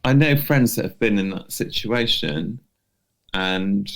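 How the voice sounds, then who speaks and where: Male co-host for casual advice chat: low voice, informal, halting phrasing. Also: expressive, fairly bright tone. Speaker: podcast co-host. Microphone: remote recording from separate location.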